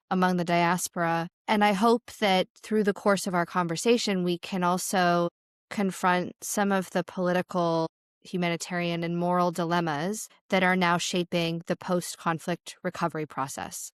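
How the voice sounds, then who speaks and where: A woman co-hosts a podcast in a measured, articulate way, with a smooth, resonant tone. Her pitch sits low for a woman. The recording is dry and close. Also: dry recording. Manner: measured, articulate, lays out the series plan. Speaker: podcast co-host, aid worker. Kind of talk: scripted podcast introduction.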